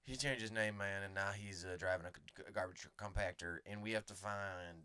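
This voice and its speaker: A man co-hosting a comedy podcast, rambling through absurd improvised bits in a deadpan way. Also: low-pitched, normal speed, clean tone, dry recording. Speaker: podcast co-host.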